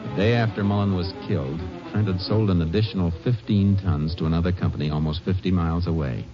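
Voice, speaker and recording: An actor playing a shrewd, steady Texas Ranger in a 1950s radio drama, terse, probing and matter-of-fact. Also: male voice, low voice, quick pace, over music, lightly acted. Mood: businesslike, determined. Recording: muffled, noisy old radio recording.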